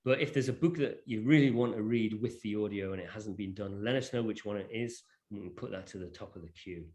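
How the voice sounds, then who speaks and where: Man, lowish voice, online presenter, informal, halting delivery. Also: a little mellow. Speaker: presenter hosting an online session. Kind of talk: informal presentation of a digital library. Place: online video call.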